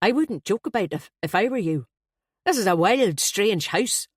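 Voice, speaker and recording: A woman's voice: mid-range voice, animated, moderate pace, slightly rough, no echo, clean studio sound. A woman voicing a big, jovial, chatty Irish man with a hearty, slangy, emphatic manner in an audiobook story. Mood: ominous.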